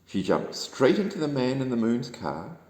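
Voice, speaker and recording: A man with a New Zealand accent reads a children's bedtime story aloud in an expressive, dramatic storytelling manner. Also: medium pitch, normal speed. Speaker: storybook reader. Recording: echoey room with long reverb.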